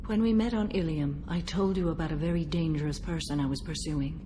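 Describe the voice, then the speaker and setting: An actress playing a stoic, centuries-old alien warrior woman bound by a code in a video game, speaking in a measured, formal, solemn manner. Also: low voice, warm tone, slight background noise, lightly acted. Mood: grave, resolute. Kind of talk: video game dialogue acting.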